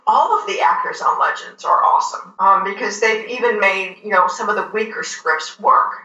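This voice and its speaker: A woman in casual TV review chat, speaking deliberately and emphatically, repeating phrases for effect. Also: medium pitch, pushed and bright, dull audio. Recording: echoey, roomy sound.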